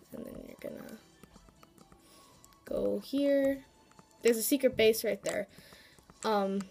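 A woman with a slight cold, sniffling now and then, gives a casual walkthrough of a handheld game. Her voice sits at an ordinary middle pitch, and she rambles with fillers and small asides. Soft game music plays faintly behind her. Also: creaky. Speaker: gaming YouTuber.

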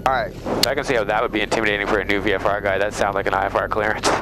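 A man's voice: lowish voice, fairly even intonation, racing, noisy background. A man who is a pilot, chatting casually over a headset mic in a small plane. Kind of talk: casual cockpit chat. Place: small airplane cabin in flight. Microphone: aviation headset boom mic. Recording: headset intercom, loud music behind.

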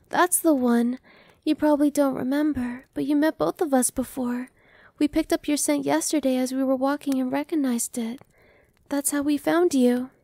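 A woman's voice: sits high, no room sound. A woman playing a sweet newborn vampire girl. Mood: reassuring. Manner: soft, reassuring, playful, drops to whispers. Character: cheerful young female vampire, newly turned, friendly. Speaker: voice actress. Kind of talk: ASMR roleplay.

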